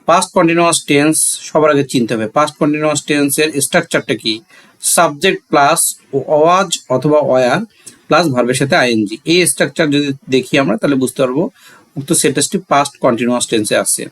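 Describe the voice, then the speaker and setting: Male teacher, Bangladeshi accent, fairly high voice. Didactic classroom delivery with repeated key terms and frequent check questions. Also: brisk, fairly bright tone. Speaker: English grammar teacher. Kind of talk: step-by-step classroom lesson.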